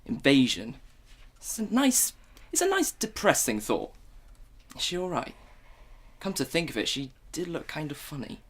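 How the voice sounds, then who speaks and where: A blustering middle-aged husband in a radio play, played by a woman, with a very low, deep voice. He presses for answers and grows more insistent. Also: huge pitch swings, normal speed, heavily acted. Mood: easygoing. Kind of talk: student radio drama acting.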